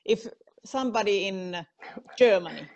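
A woman in her forties with a Finnish accent, interviewing a guest over a video call with halting, searching English. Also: mid-range voice, expressive, a little mellow. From Finland. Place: indoors at home, video call. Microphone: earphone mic on a video call.

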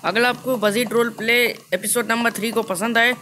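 Male gaming host, high pitch, casual commentary, repeated phrases, engine noise behind the voice. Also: steady tone, moderate pace, sharp tone. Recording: noisy, vehicle engine sound behind voice.

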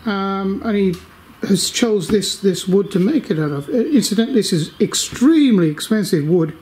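An older man giving a casual update from his home workshop, talking slowly with lots of ums and restarts while holding the camera. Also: sits high, lively intonation, a little mellow, slightly rough. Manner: slow, rambling, many fillers. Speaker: workshop video maker.

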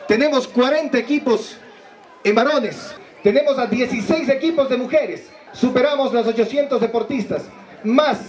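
Very high-pitched Ecuadorian man making a speech. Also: slight background noise. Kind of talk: public speech. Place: outdoor sports ground.

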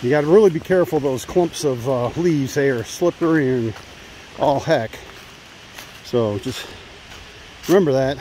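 A chatty man talks into his phone while hiking on a wooded trail outdoors. His voice is of middling pitch, and he is sometimes winded from the climb. Faint wind and running water sit behind him. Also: moderate pace, long pauses, dark tone, rough recording. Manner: chatty, narrating while walking. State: out of breath at times. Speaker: hiker vlogger. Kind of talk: casual trail vlog. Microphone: handheld phone. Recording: faint wind and stream noise.

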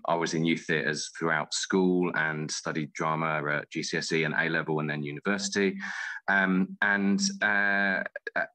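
A man in his forties talking casually as an interview guest, halting and often interrupting himself. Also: deep voice, bright, forceful tone. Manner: halting, self-interrupting, informal. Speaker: guest, playwright.

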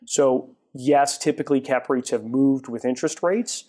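A man in his forties, a guest in a studio interview, explaining at length with frequent restarts, on a lapel mic in a TV studio. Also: mid-range voice. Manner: halting restarts, then steady explanation. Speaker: guest, retail property developer. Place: TV studio set.

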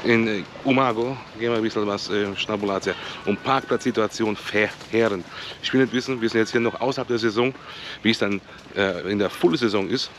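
A man's voice: lowish voice, a little fast. A man in his thirties narrating a casual travel vlog outdoors, talking into an action camera at arm's length and mixing in English words. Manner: chatty, mixes in English words. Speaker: travel vlogger. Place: outdoors in old town streets. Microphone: action camera held at arm's length. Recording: noisy outdoor street ambience.

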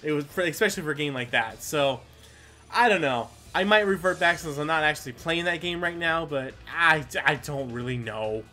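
A man's voice: on the high side, moderate pace, sharp tone, faint music behind. A man giving casual, rambling gameplay commentary as the host, self-deprecating and given to mild swearing. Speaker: gaming video host.

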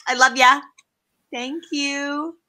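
Female streamer, twenties, bright forceful higher voice, casual video-call chat. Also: steady tone, slow. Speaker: livestream co-host.

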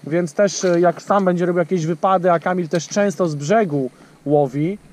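A man's voice: high voice, moderate pace, a little mellow. A man in his thirties hosting a casual fishing vlog from a small boat, chatting to his friend and talking straight to viewers with lots of fillers. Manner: chatty, addresses viewers directly, many fillers. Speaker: fishing channel host. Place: small boat on a lake outdoors. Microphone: action camera on the boat.

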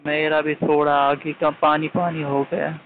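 A man's voice: a little high, little pitch movement, a little slow. Man asking questions over a thin phone line. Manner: insistent, repeated short questions. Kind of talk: casual phone conversation. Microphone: phone call. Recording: tinny phone call with faint noise.

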